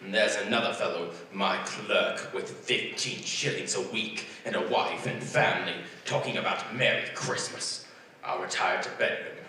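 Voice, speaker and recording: A man's voice: lowish voice, moderate pace, pushed and bright. An actor playing a grumpy, miserly older businessman with a scornful, curt, blustering manner, in a 1940s radio drama style. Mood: cold, dismissive. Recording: roomy echoing stage, distant mic, faint noise.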